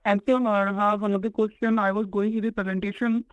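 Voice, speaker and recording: A man's voice: sits high, little pitch movement, brisk, dry recording. A man, an analyst asking polite, deferential questions on a muffled lo-fi call line.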